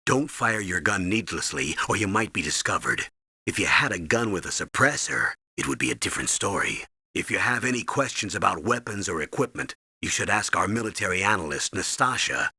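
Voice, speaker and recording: Older American military commander for a game: authoritative, clipped orders, gravel-edged, dry close sound. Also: male voice, deep voice, animated, fairly quick, pushed and bright, no room sound, exaggerated voice. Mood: instructive. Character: stern older military colonel giving mission orders by radio. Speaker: mission commander and briefer. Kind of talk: scripted video game radio briefing.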